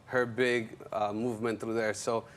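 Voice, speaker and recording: A man's voice: fairly low pitch, flat delivery, normal speed. A man talking casually as an interview guest, easygoing and telling stories in a conversational way. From Colombian background. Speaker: guest, music executive and show creator. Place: TV studio.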